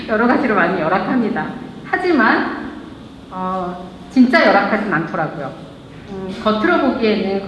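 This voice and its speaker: A woman's voice: mid-range voice, a little hiss or hum. Woman chatting on stage at a live event, echoey hall, kinda muffled lo-fi sound. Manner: chatty, jokes with the audience. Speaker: local brand founder on a panel.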